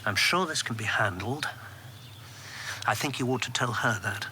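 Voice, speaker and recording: Lewis, a bright-voiced, worried confidant. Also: male voice, medium pitch, animated, normal speed, a little hiss or hum. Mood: practical. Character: Lewis, worried, principled male government confidant, discreet and pragmatic. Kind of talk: naturalistic radio drama acting.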